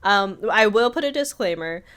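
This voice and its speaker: A woman's voice: medium pitch, expressive, normal speed, fairly bright tone, clean tone. A woman co-hosting a podcast, telling stories in a casual, conversational way. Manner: conversational storytelling, voices quotes from others. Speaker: podcast co-host. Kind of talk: casual chat, telling anecdotes.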